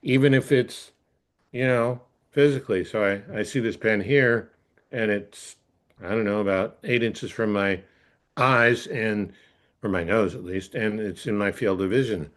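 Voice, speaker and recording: A man with a fairly low voice talks as an interviewed coach. He explains ideas conversationally and thoughtfully, often halting and repeating a phrase as he works toward the point.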